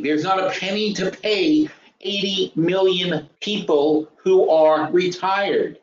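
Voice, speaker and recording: Roomy-sounding, higher-pitched man in his fifties, lecturing. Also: a little hiss or hum. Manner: emphatic, blunt, repeats phrases for emphasis; thinks aloud, asks rhetorical questions. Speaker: webinar host and trading educator. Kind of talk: informal explanatory financial lecture. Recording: roomy, dull lo-fi webinar audio.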